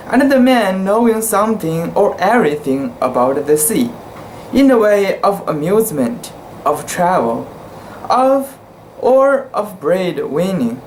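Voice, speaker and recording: Slow, halting amateur story reader. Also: medium pitch, a little hiss or hum, low-quality recording. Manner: halting, careful word-by-word delivery with stumbles. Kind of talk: dramatic reading of a literary short story.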